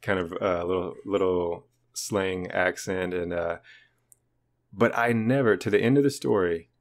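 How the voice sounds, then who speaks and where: Man, very low deep voice, relaxed rambling talk, podcast co-host. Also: a little slow. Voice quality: naturally deep voice. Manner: rambling and self-deprecating, with many restarts. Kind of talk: casual chat.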